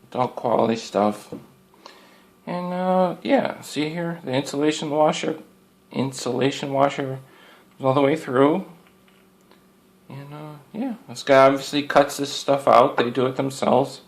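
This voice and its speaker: A man's voice: medium pitch, measured pace, creaky, long pauses. A man giving a casual, unscripted product review as a hobbyist, rambling in a folksy way and circling back over his points. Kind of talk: casual unscripted show-and-tell.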